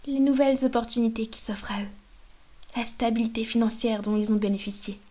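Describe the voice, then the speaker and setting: A woman's voice: on the high side, fairly even intonation, brisk, warm tone, natural voice. A woman playing a haughty, menacing vampire mistress in a scripted ASMR roleplay, speaking in a poised, formal, condescending way. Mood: veiled menace.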